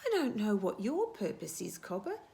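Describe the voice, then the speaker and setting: Woman as a friendly storybook rat, swooping pitch. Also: wildly swooping pitch, moderate pace, vocal fry, mellow, a little hiss or hum. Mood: matter-of-fact.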